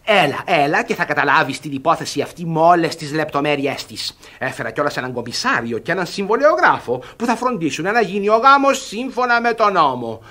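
An agitated, smug brother from a classic stage farce on the radio. His voice sits fairly high and swings widely in pitch. He speaks with sarcasm and theatrical emphasis, pressing every point. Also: very animated, hardly any pauses, pushed and bright. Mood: exasperated, urgent. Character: agitated, mocking, self-satisfied brother in classic French farce. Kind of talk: theatrical Greek radio comedy acting.

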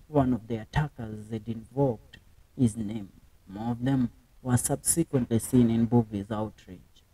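A man's voice: medium pitch, mellow, dry recording, recorded from a distance, low-quality recording. A man reading documentary narration, stumbling and restarting words now and then. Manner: reads with slips and restarts. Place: voice-over, not recorded on location.

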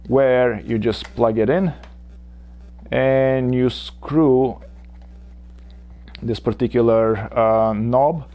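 Slow-talking man in his 40s, native Bulgarian speaker, methodical workshop gear explainer. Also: mid-range voice, darkish tone. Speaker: woodshop channel host. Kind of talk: unboxing and gear demo. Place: cluttered home workshop.

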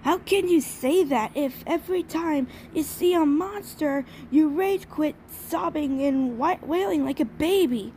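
A woman's voice: sits high, measured pace, few pauses, warm tone, a little hiss or hum. A woman voicing a brash, hot-tempered little filly in an amateur cartoon dub. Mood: grumpy.

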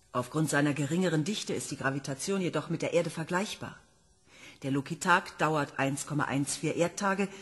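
A woman's voice: on the low side, husky. An actress playing an enthusiastic woman planetology professor reporting to a commission. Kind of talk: radio play acting.

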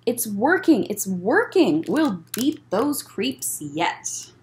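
A woman's voice: on the high side, very animated, slow, darkish tone, a little hiss or hum. Woman voicing a plucky, emphatic young girl bug. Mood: urgent, rallying. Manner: emphatic, rallying. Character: plucky young female bug, brave and urgent.

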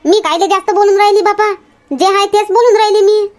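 A woman acts out a chatty village woman from a homemade comedy cartoon. Her voice is extremely high and squeaky. She bickers and teases in a gossipy way, with a Varhadi Marathi accent. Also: fairly even intonation, fairly quick, a little mellow. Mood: gossipy, bickering.

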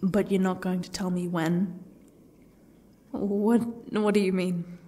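A woman playing a defiant, sardonic captive in an audio roleplay, speaking haltingly and often sinking toward a whisper. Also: mid-range voice, level tone, mellow. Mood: uneasy, grudging. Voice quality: husky, breathy, creaky. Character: defiant, sardonic captive woman facing her ex's torture. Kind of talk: audio roleplay, one-sided dialogue.

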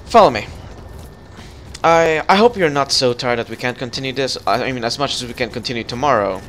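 A man talks over a co-op game at a mid pitch and gives his partner directions, stopping to restart and fill gaps with "um" and "let's see." A faint hiss sits under the voice chat. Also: lively intonation, brisk, fairly bright tone. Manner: guiding and giving directions, with fillers and restarts. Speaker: gaming channel host. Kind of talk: casual co-op gameplay chat.

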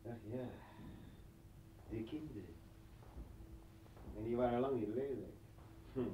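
Mocking, volatile militant, distant and echoey. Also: male voice, on the low side. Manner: mocking, sardonic, theatrical. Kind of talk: Dutch radio play acting. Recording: echoey, distant, dull, faintly noisy radio recording.

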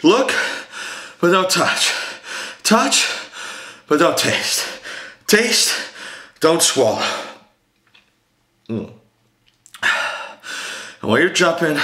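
A man's voice: on the high side, pushed and bright, full character voice. A man performing a dramatic monologue as the Devil, a gleeful, scornful tempter who rants theatrically and emphatically against God, sly and confiding or roaring. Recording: roomy, echoing amateur room recording.

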